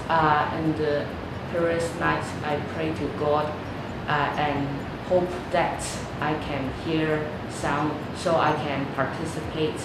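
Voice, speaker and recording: A young female student in her teens giving prepared interview answers. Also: sits low. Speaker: student competitor. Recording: very echoey room, loud background noise.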